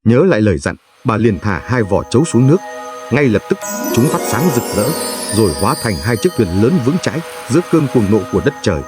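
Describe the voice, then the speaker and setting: A man's voice: mid-range voice, big pitch swings, brisk, music in the background. A man narrating a folk tale as a scripted story, in a solemn, emotive and dramatic manner.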